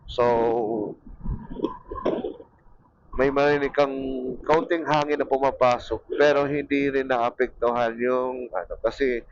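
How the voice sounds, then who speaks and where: Casting: male motovlogger, Filipino accent, slow and hesitant casual delivery, muffled helmet-mic sound. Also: medium pitch, fairly even intonation, smooth. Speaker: motorcycle vlogger. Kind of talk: casual motovlog narration to viewers. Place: outdoors, riding a motorcycle. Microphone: small mic near the mouth inside helmet.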